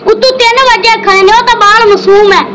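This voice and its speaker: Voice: extremely high pitch, steady tone, fairly quick. A performer playing a poor labourer's distraught child in an amateur drama, with a Pakistani Punjabi accent and a plaintive, lamenting delivery. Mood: complaining, pleading. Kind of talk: amateur social drama acting. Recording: loud background noise, outdoor traffic ambience.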